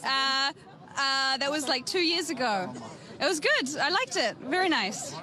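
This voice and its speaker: A woman's voice: high voice, lively intonation, measured pace. A woman from New Zealand with a New Zealand accent, giving short cheerful answers as a tourist interviewed outdoors. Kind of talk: brief street interview. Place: outdoors on a busy avenue at night. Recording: loud street crowd behind.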